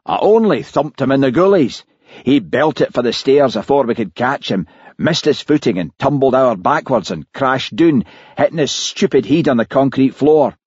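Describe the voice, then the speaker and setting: A man's voice: medium pitch, expressive, normal speed, darkish tone, husky, no echo, pitched above the performer's own voice. A man voicing a crude, blustering policeman. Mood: indignant. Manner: blustering, then meek. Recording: dull lo-fi, dry.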